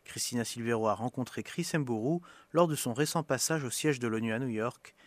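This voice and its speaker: Male news presenter, mid-pitched, reading scripted bulletins, dry close sound. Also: fairly quick, no room sound. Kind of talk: scripted radio news narration.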